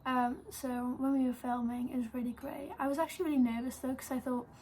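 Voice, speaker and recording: A woman's voice: high-pitched, little pitch movement, fast talker, a little mellow, a little hiss or hum. An eighteen-year-old woman with a Welsh accent, casually telling a story to camera, full of "like" and "um". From Wales. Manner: chatty, lots of "like" and "um". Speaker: vlogger.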